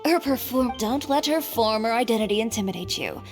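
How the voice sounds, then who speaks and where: A woman's voice: on the high side, animated, brisk, faint music behind. A woman playing an eager, confident and helpful young woman in an anime game. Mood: curious, encouraging.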